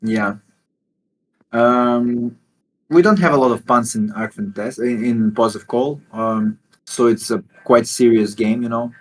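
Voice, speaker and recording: A man, a game developer, walking through his game in a livestream interview and talking haltingly with many fillers and restarts. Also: medium pitch, slight background noise, low-quality recording. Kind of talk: explaining and demoing a game during a livestream interview.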